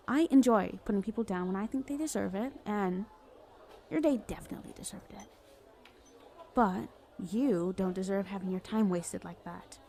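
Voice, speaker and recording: A flirty villainess, acted by a woman in an ASMR roleplay, with a mid-pitched voice full of vocal fry. She is smug and cajoling, talking straight to the one she adores, and stumbles slightly as she pleads her case. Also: warm tone, slight background noise, lightly acted. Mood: earnest, pleading. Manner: teasing, cajoling, conversational with hesitant stammers. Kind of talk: ASMR roleplay, addressing the listener as love interest.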